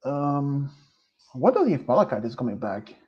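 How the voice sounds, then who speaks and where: Male streamer, Swedish accent, English not his first language; unhurried, rambling, full of fillers; muffled low-fi mic. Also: lively intonation. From Sweden. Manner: hesitant and rambling, with many pauses and fillers. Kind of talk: casual live-stream chat.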